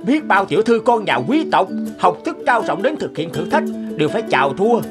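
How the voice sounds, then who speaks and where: A man's voice: high voice, very animated, a little fast, music in the background. A man voicing a haughty young servant of a noble house in a cartoon, exclaiming with scorn. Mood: scornful. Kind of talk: cartoon folk tale acting.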